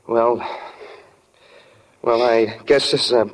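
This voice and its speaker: A man playing an earnest, nervous small-town young bridegroom, halting and boyish, in old-time radio drama style. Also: mid-range voice, steady tone, natural voice. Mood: shy, earnest. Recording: dull old radio recording.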